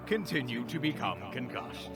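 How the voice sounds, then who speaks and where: Smug wizard villain in audio drama, slow mocking drawl, super swoopy, loud music behind. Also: male voice, medium pitch, very animated, creaky. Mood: smug, unbothered. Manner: taunting, theatrical, drawling. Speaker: voice actor in audio roleplay.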